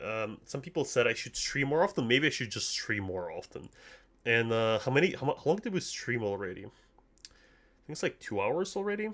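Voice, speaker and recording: A young man with a light Russian accent talks casually to his stream viewers. His pitch swings widely as he goes. The manner is joking and self-deprecating, full of internet slang. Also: a bit bright. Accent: slight Russian accent. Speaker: anime commentary streamer. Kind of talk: casual livestream chat, answering viewers.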